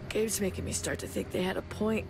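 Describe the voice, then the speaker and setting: A woman's voice: mid-range voice, a little fast, vocal fry, over music, close to an everyday voice. An actress playing a weary, sardonic stepmother in a video game, talking in a casual, wry way. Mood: weary, exasperated. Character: weary, sardonic stepmother venting on a night drive. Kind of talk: naturalistic video game acting.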